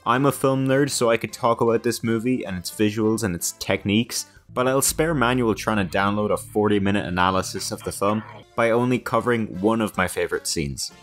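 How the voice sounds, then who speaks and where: A man's voice: lowish voice, a little fast, a bit bright. A young man giving casual, joking, self-deprecating commentary as a guest in a video essay, over background music.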